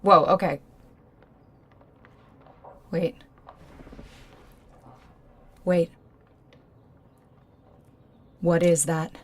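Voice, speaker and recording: A woman's voice: fairly low pitch, steady tone, extremely slow, breathy edge, heavily acted. A woman playing a wary, questioning reporter in a naturalistic audio drama, hesitant and easily flustered. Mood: startled, skeptical. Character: skeptical, flustered female reporter meeting a secret source.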